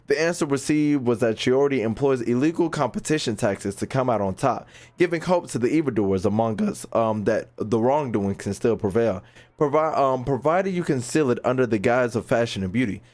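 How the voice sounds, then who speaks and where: A male gaming YouTuber talks over a playthrough in an average-pitched voice. He is casual and slangy, jokes around, and reads game dialogue out loud. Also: animated, brisk. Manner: slangy, jokey.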